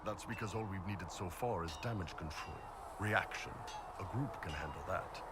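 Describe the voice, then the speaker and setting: A man's voice: low voice, moderate pace, heavy background noise, close to an everyday voice. A man voicing a brash, easygoing horned warrior who leads mercenaries in a video game, speaking in a casual, blunt, confident way. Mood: relaxed, serious. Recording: game audio with clear background music.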